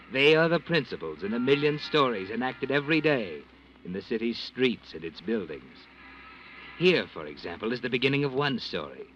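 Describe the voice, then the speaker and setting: An American man playing an ominous, mysterious all-knowing narrator in a 1940s radio mystery, deliberate and portentous. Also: medium pitch, slight background noise. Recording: muffled old radio, loud music behind.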